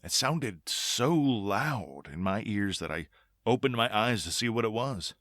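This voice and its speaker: A tense middle-aged doctor from an old-time radio play. His delivery is clipped and insistent, with a bright, forceful edge and wide swings of pitch, recorded very dry and close. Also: animated, measured pace, no room sound. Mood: pensive, calm.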